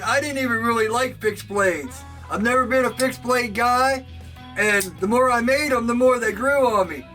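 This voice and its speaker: A man talking casually to his camera about knifemaking, loud and excitable, laughing often and projecting because he can't talk softly. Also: very high voice, far from the mic, poor audio. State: sore throat. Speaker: knifemaker and channel host. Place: home workshop.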